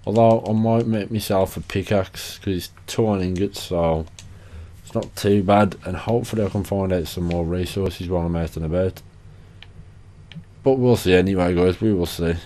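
A young man talks through his playthrough in a loose, sweary, self-mocking way. His voice sits low and dark. It has a slight rasp from a lingering cough, and he sounds worn out. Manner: sweary, chatty, self-deprecating. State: tired and hoarse from a cough. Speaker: gaming channel host. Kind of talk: casual gameplay commentary.

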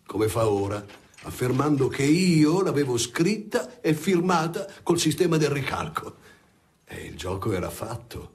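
An actor playing a male defendant in an Italian radio drama, arguing his case in a deliberate, reasoned way. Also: medium pitch, lightly acted.

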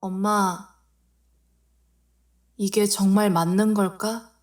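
A woman's voice: medium pitch, steady tone, slow, close to an everyday voice. A woman voicing a conflicted young woman thinking aloud in an audio drama, fretful and unsure. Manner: fretful inner monologue.

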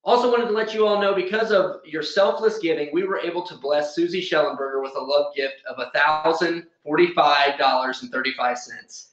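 A man's voice: on the high side, a little fast, hardly any pauses, a bit bright. A man in his thirties, a pastor giving church announcements to camera in an upbeat, emphatic, informal way. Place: small plain indoor room. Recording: roomy echo, slightly dull sound.

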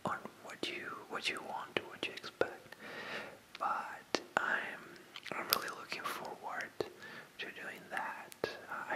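An ASMR creator speaking to viewers in a pure breathy whisper, with a halting, hesitant delivery that often repeats phrases. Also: low-quality recording.

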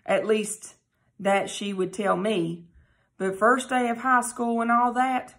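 A woman voicing a gentle, loving mother comforting her son in a storybook reading. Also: a little high, flat delivery, slow pace. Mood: calm. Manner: soft, reassuring.

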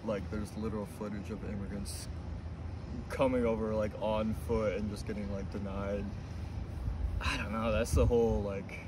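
Young man, low voice, rambling. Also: flat delivery, normal speed. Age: young adult. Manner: rambling, lots of "like". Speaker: college student interviewee. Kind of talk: casual street interview. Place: outdoors on a campus bench. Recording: distant voice with background noise.

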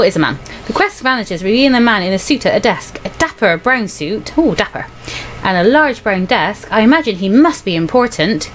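A woman's voice: on the high side, a little hiss or hum, rough recording. A woman reading a visual novel aloud as a let's play host, breaking off into playful, casual asides. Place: indoor room at home.

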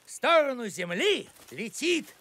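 A man's voice: very high voice, big pitch swings, a little slow, pushed and bright, slight background noise, full character voice. An actor playing an eccentric, grumpy village postman in a cartoon, officious, boastful and theatrical. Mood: ominous gossip. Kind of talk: animated series character acting.

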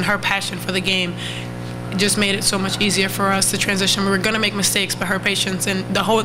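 A woman's voice: mid-range voice, rough recording. A young woman college basketball player answering press conference questions into a table microphone, earnest and fairly fluent. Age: young adult.

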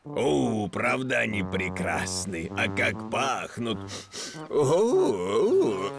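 Неторопливого пса-шкипера со скрипотцой. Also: male voice, mid-range voice, huge pitch swings, creaky, full character voice. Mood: cheerful, puzzled. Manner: unhurried, exclamatory. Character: cheerful adult male dog skipper, kindly uncle. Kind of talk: children's cartoon acting. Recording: music under the voice.

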